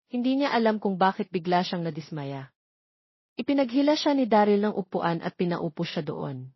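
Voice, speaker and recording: A woman's voice: mid-range voice, husky, no room sound, lo-fi sound. A woman with a Filipino accent reading a scripted romance story as its narrator. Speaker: narrator of audio stories.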